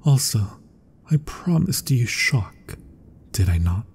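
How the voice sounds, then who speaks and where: A proud, macabre mad scientist , acted by a man, speaks in a dark, mellow voice that is heavily breathy and often sinks toward a whisper. He takes his time over each formal, theatrical phrase. It is a gothic horror ASMR roleplay, courteous on the surface and obsessive underneath. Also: medium pitch, sing-song, slow pace, exaggerated voice. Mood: proud, measured.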